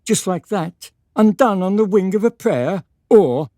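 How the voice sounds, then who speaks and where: Stern, evasive noble patriarch played by a woman, radio drama; mellow, unhurried, formal delivery with wide swings of pitch. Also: lively intonation, no echo, studio quality, close to an everyday voice. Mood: evasive, regretful. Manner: measured, hesitant, patronizing, formal. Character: stern, evasive aristocratic father, a Venetian lord. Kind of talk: period radio drama acting.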